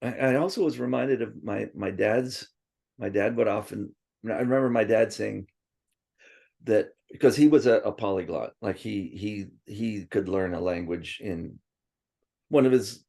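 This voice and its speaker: A man with a gravelly, dark voice tells stories in a loose, rambling way. He restarts often and wanders into asides. Swedish was his first language. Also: fairly low pitch, a little slow. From born in Princeton, raised partly in Tokyo. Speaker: interviewee. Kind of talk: casual reminiscing interview.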